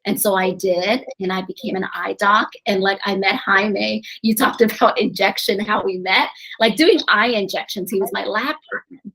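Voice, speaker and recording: A woman guest on a podcast, telling her life story in a chatty, animated way and laughing often. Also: medium pitch, moderate pace, vocal fry, few pauses, low-quality recording. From grew up in New Orleans, USA. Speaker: podcast guest, eye doctor and real estate investor. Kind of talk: casual interview storytelling.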